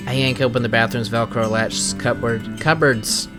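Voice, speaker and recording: Male game streamer over clear music. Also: mid-range voice, steady tone, normal speed. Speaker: streamer, video host. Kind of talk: reading game narration aloud, casual commentary. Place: game playthrough recording with music behind.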